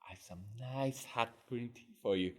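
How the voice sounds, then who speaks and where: A man voicing a fishmonger's wife in a one-performer comic tale, scolding her drunken husband in a sharp, exasperated manner. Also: mid-range voice, moderate pace, close to an everyday voice. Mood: gentle.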